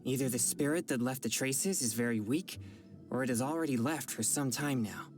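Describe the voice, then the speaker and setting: Young female exorcist for a game: very deep register, earnest, courteous, measured, music underneath. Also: darkish tone. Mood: pondering. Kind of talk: video game voice acting. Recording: game audio with background music.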